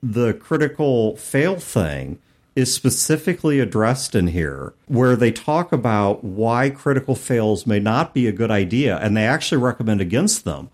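Animated, professorial man on a podcast. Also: medium pitch, normal speed, few pauses. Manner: expository, wordy, professorial. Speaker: podcast host. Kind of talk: discussion of tabletop gaming.

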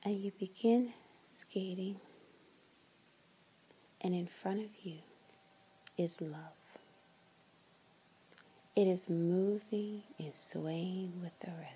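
Very slow, hushed woman guiding meditation. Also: fairly low pitch, slight background noise, rough recording. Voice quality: soft, breathy, largely whispered. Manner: soothing, gentle, with long pauses. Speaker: meditation guide. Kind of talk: guided meditation, ASMR-like.

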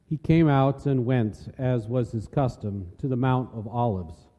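Very mellow male narrator in echoing hall. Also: medium pitch, measured pace. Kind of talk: scripted scripture narration. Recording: echoey hall with long reverb.

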